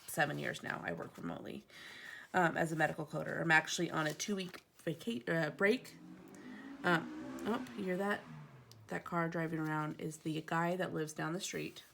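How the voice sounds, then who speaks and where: Chatty woman with a gravelly low voice. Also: fairly bright tone, a little hiss or hum. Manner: chatty, rambling, digresses often. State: stuffy from allergies. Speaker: planner vlogger. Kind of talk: casual chat while decorating a planner. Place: home, indoors.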